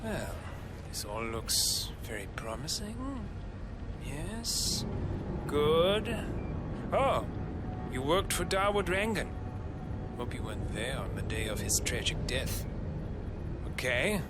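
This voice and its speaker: An actor playing an eccentric, demanding boss in a video game cutscene, talkative, theatrical and self-important. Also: male voice, mid-range voice, very animated, slow, pauses often, heavy background noise. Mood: appraising. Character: eccentric, demanding male boss vetting a new bodyguard.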